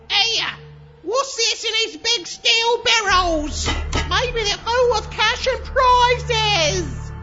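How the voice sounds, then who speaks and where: A dull recording with music behind a tiny greedy sidekick in a comic horror audio play. The voice is extremely high and whiny, grumbling crudely and melodramatically. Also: female voice. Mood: defiant, grumbling. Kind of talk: comedic horror audio drama acting. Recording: dull sound over music.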